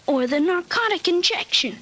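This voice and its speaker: Boy of thirteen, high voice, earnest and articulate, adult seriousness. Vintage radio drama with light hiss. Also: lively intonation, normal speed, pushed and bright, full character voice. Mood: grave, insistent. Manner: earnest, articulate, matter-of-fact recitation. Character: thirteen-year-old boy with a grown man's mind, precociously serious. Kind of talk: 1950s radio drama acting. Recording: noisy old radio recording.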